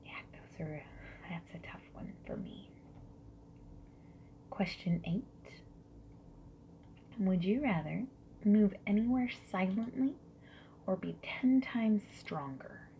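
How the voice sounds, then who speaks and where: A woman plays a friendly survey interviewer in a soft-spoken ASMR style. She drifts between whispering and quiet speech, taking her time over each question. The recording is muffled and lo-fi, with faint noise behind her. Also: mid-range voice, animated. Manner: friendly, gentle, unhurried questioning with soft acknowledgements. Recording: lo-fi muffled sound with background noise.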